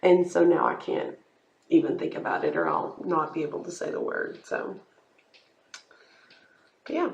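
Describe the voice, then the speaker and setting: A woman's voice: low-pitched, wildly swooping pitch, moderate pace, gravelly, pauses often, slight background noise. A young woman with a Southern American accent, from the rural South, co-hosting a podcast and telling rambling stories with frequent laughs. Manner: laughs often, rambling storyteller. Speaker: podcast co-host. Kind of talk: casual chat. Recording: echoey room.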